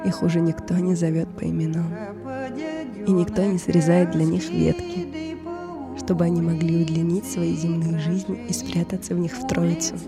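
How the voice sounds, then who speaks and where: A woman reading a scripted personal story in a measured, reflective way, in short phrases. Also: on the low side. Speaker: narrator of an audio performance. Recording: music bed under the voice. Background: music.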